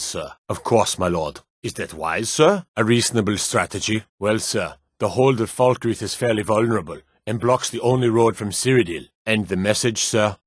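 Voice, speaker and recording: An actor playing a stern, world-weary male town guard in a fantasy video game, speaking in a terse, gruff manner. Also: on the low side, no echo, studio quality. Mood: formal, guarded. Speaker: game character voice.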